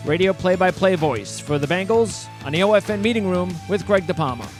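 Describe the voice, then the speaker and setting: An American man with a slightly high voice hosts a sports interview show. He talks in an enthusiastic, conversational way, winding through long questions before he lands on the point. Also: brisk, soft background music. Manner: wordy, rambling questions.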